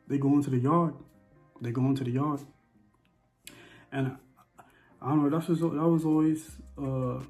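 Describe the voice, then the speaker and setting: A man's voice: medium pitch, dark tone. A man with an American accent giving casual opinions, emphatically repeating his phrases for stress, with faint music behind him. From Bahamas and the U.S.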